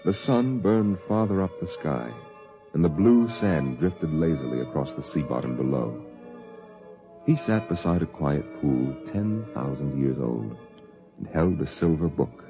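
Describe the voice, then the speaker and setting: A grave storyteller narrates a vintage science fiction radio play. His voice is exceptionally deep and solemn, with music clearly underneath and a dull old-radio sound. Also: animated, a little slow, exaggerated voice. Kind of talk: scripted radio drama narration.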